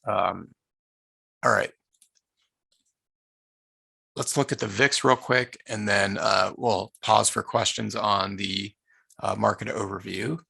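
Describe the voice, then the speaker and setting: A man with a hoarse, tired voice presenting a live online market-analysis session, speaking haltingly with many filler sounds and restarts. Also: fairly low pitch, steady tone, pushed and bright, dry recording. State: hoarse, losing his voice.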